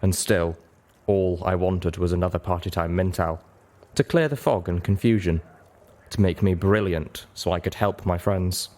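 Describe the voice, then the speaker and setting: Male storyteller, low voice, expressive audiobook narration. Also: moderate pace.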